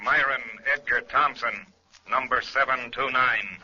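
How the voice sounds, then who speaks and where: An actor playing a stern prison official calling a roll formally in a 1940s radio drama. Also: male voice, mid-range voice, sharp tone, breathy edge, exaggerated voice. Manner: clipped, formal, deliberate calling-out. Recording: muffled old radio recording.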